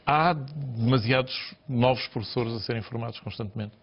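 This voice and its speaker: A man with a European Portuguese accent interviewing on television, haltingly building his questions and points with repeated words. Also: medium pitch, husky, muffled recording. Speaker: TV news interviewer.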